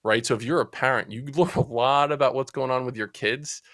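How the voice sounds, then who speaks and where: A man in his forties with a New Jersey accent, telling stories as a podcast guest in a chatty, lively way. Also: mid-range voice, brisk, dry recording. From Central New Jersey. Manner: chatty, animated storyteller. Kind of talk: casual storytelling interview.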